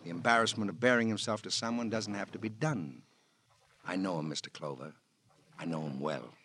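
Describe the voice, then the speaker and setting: An actor playing a brooding, loyal American confidant, speaking in halting, reflective, theatrical phrases in radio crime drama style. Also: male voice, low voice, bright, forceful tone. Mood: earnest, protective. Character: brooding, loyal male confidant, protective older friend. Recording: faintly noisy old radio recording.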